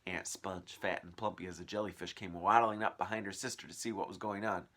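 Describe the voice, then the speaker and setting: A man's voice: deep voice, steady tone, brisk, sharp tone. A man reading a children's story aloud as narrator, with dramatic, varied emphasis.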